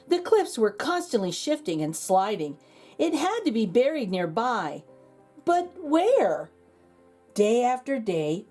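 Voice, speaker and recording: A woman reading a children's picture book aloud as a storyteller, expressive, with dramatic emphasis and pauses. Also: a little high. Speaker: read-aloud host and storyteller.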